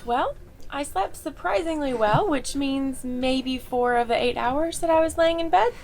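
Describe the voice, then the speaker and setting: Female vlogger, high voice, upbeat show-and-tell, faint ambient noise. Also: a little slow. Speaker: travel vlogger. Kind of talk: casual tour narration. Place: small sleeper train cabin.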